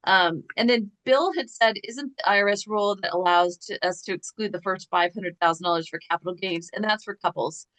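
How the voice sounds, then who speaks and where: A woman hosting a chat show, talking casually with quick asides and rhetorical questions. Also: mid-range voice, fairly bright tone, no echo, low-quality recording. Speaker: podcast host.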